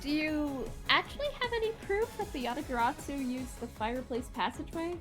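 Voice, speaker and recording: A woman acting as a high, bouncy-pitched girl sidekick, cheeky and impatient, in a game fan dub. Music plays clearly behind the voice. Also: lively intonation, fairly quick, poor audio. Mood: skeptical.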